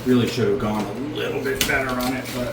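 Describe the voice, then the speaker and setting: A man giving a relaxed, joking tour of a renovated bathroom, with many fillers. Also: medium pitch, quick pace, heavy background noise. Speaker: co-host renovating a house. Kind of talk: casual chat and house tour. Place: old house under renovation, indoors.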